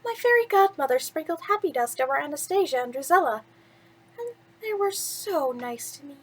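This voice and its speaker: Lady doing a sweet young storybook heroine, really high voice, sing-songy and kind. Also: lively intonation, normal speed. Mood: soft, dreamy.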